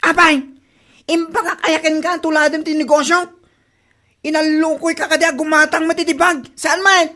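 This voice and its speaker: A woman playing a brash, sharp-tongued neighbour in a comedy audio drama, speaking with an Ilocano accent. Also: sits high, flat delivery, normal speed. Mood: offended, retorting. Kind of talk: Ilocano comedy radio-style drama acting.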